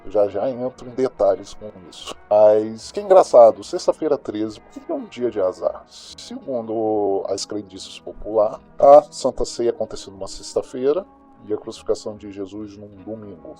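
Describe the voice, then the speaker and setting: A man's voice: on the low side, very animated, moderate pace, mellow. A Brazilian man playing a cynical, gossipy small-town crime news host in an audio drama, talking in a slangy, sardonic, colloquial way. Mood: chatty, musing. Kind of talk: audio drama monologue as chatty radio host. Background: music.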